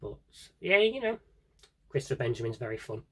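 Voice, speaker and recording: A man's voice: medium pitch, sing-song, brisk, sharp tone, breathy edge. A British man chatting casually through a vlog-style review, wry and opinionated, with rambling asides. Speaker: vlogger showing a collection.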